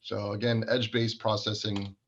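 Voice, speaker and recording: A man's voice: lowish voice, level tone, moderate pace, a bit bright, highs cut off. A man giving an informal product presentation in an explanatory, technical manner.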